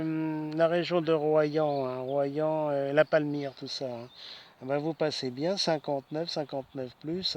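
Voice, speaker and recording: A man chatting casually as an amateur radio operator outdoors in a wood, speaking in short, choppy phrases. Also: a little high. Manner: choppy phrases with frequent tag words. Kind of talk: ham radio contact, casual chat. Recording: faint outdoor background noise.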